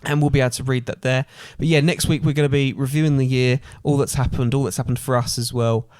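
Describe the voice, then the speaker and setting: A man's voice: mid-range voice, level tone, fast talker, a little mellow, no echo, studio quality. A man co-hosting a podcast in casual chat. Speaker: podcast co-host.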